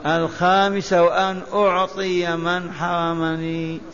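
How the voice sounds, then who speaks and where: A man from Algeria teaching as a religious scholar. He chants short memorized lists in a drawn-out, sing-song recitation and has his listeners repeat after him. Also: sits high, completely flat delivery, fairly bright tone. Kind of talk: lecture teaching by recitation and repetition. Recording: echoey hall, dull lo-fi sound, faint hiss.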